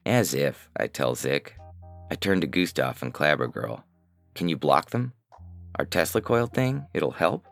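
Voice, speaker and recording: A man playing a weary, paranoid hacker who narrates a science fiction audiobook in a terse, deadpan, sardonic manner. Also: extremely low pitch, unhurried, gravelly, soft background music, full character voice. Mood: wary.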